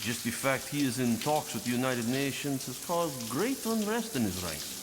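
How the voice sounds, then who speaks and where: An actor playing a big, courteous, articulate mutant hero in a motion comic, speaking formally and precisely. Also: male voice, mid-range voice, expressive, normal speed, noisy background, close to an everyday voice. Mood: explanatory.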